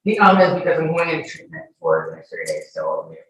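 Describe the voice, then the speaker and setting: A woman's voice: extremely low pitch, very animated, fast. Emphatic woman testifying in a very echoey room. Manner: emphatic, repeats denials. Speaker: witness testifying in court. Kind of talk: answering questions under examination. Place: indoor courtroom. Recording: echoey, distant mic, faint noise.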